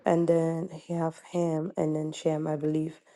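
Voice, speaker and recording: Ethiopian-accented lady caller, low flat creaky voice, halting. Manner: halting, searching for words.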